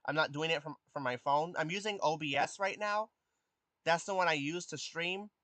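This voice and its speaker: A young man in his twenties talks casually to his stream viewers. His voice is light and youthful, and it sits a little higher than most men's. He pauses often with ums, and the sound is close and dry. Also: sharp tone, no room sound. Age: 20s. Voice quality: youthful voice that has not deepened. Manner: hesitant, many ums and restarts, answers chat questions. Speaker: live streamer.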